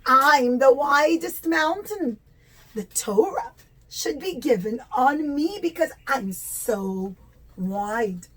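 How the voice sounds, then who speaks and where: A conceited, showy mountain in a puppet tale, voiced by a woman, high in pitch. It preens over its own looks and stretches out every boast slowly. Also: lively intonation, a bit bright, full character voice. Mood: vain preening. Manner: preening, drawn-out bragging. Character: vain, showy mountains admiring their own beauty.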